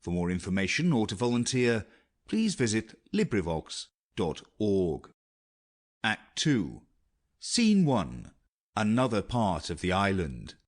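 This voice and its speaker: A man's voice: on the low side, huge pitch swings, slow pace. A man narrating scripted stage directions and announcements for an audiobook.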